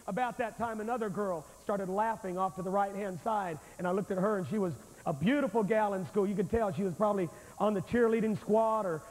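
A man in his forties preaching a revival sermon on a large church stage with a handheld microphone, telling a story in an animated, confrontational way. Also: high-pitched. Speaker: evangelist preacher. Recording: long hall reverb, old VHS tape.

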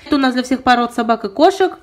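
A woman's voice: high-pitched, expressive, fast talker. A young woman with a sore throat, chattily reviewing pet products she bought, filmed at home. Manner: chatty, detailed, apologetic asides. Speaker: pet vlogger. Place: indoors at home.

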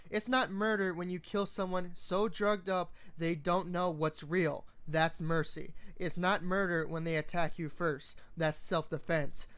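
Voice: medium pitch, flat delivery, unhurried, hardly any pauses, fairly bright tone, lightly acted. An amateur reader voicing a hardened male soldier and courier in a story, blunt and impassioned. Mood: righteous.

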